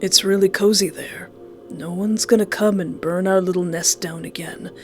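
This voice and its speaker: A woman voicing an obsessed, possessive male ex-boyfriend in a visual novel, hushed and controlled with quiet menace. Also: lowish voice, fairly even intonation, moderate pace, soft background music, natural voice. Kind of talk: visual novel villain dialogue.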